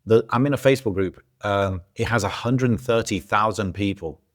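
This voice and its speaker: A man with a fairly low voice chats loosely on a podcast. He talks casually and keeps restarting his sentences. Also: moderate pace. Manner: relaxed, loose storytelling with many fillers and restarts. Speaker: podcast co-host. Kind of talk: casual chat. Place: lives in Algeria.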